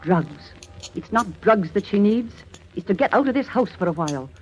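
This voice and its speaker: An actress playing a blunt, protective older aunt who meddles, in emphatic 1940s radio drama style. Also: female voice, medium pitch, animated, normal speed, dull audio, faint music behind, natural voice. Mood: indignant, insistent.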